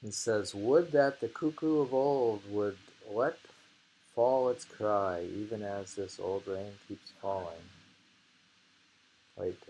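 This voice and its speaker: Male reader-host, unhurried and gravelly, casual and deliberate, discussing verse over faint room noise. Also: lowish voice, dark tone, low-quality recording. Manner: slow, musing, often repeats lines deliberately. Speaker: host reading a poetry book aloud. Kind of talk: casual reading and discussion of poems.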